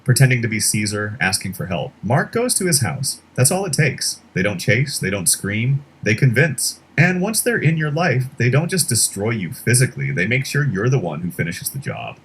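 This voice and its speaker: A man narrating a scripted horror explainer in a measured, dramatic storytelling way. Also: on the low side, a little hiss or hum, poor audio.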